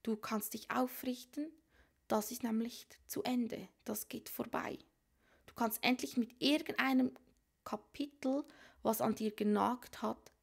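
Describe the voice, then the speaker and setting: Gravelly-voiced woman reading tarot cards. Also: fairly high pitch. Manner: musing, thinks aloud, frequent whispered asides. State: has a cold. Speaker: tarot reader. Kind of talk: casual tarot reading to viewers. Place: small room at a card table.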